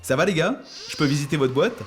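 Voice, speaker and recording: A man voicing an eager, self-assured, helpful software mascot, presenting in an upbeat way in an educational cartoon. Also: fairly high pitch, lively intonation, fast talker, over music, close to an everyday voice. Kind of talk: scripted explainer in an educational cartoon.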